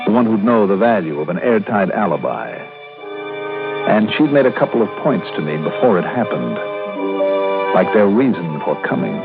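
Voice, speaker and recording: Tinny old radio sound with music behind, and a shrewd American detective. His voice is low and swings widely as he tells his case in a clipped, wry, dramatic way. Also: creaky, full character voice. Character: shrewd, hard-boiled male insurance investigator narrating his case. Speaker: lead actor and star of the radio series.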